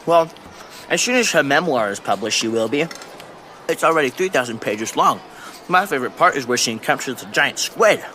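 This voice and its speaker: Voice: medium pitch, expressive, a little fast, bright, forceful tone, slight background noise. An actor playing a blunt, food-obsessed middle-school kid with a dry, sceptical manner in a children's audio drama. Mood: matter-of-fact. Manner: dry, matter-of-fact, sceptical.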